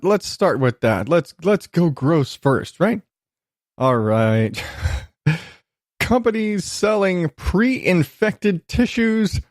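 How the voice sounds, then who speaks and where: A man's voice: fairly high pitch, no room sound, clean studio sound. A man hosting a solo podcast, talking casually and informally in a self-deprecating, sweary, rambling way. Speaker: podcast host.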